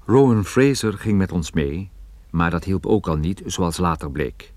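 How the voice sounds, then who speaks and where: An actor playing a weary, urgent, wry private detective and narrator in a radio play. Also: male voice, low-pitched, lively intonation, measured pace, a little mellow. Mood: weary narration. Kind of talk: radio play acting with first-person narration.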